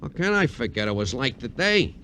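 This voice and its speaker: Blustering, sharp-voiced tough ex-con. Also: male voice, mid-range voice, big pitch swings, a little fast. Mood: wheedling. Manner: blustering, tough-guy street talk. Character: gruff, touchy middle-aged ex-con safecracker playing Santa. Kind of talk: 1950s radio drama acting. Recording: noisy old radio recording.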